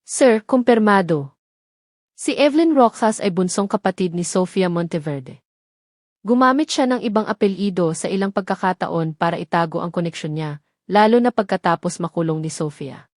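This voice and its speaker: A woman's voice: fairly low pitch, animated, normal speed, darkish tone, dry recording, studio quality, lightly acted. A woman narrator voicing a formal ex-military security chief in an audio drama, reporting factually and deferentially with a Filipino accent. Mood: businesslike.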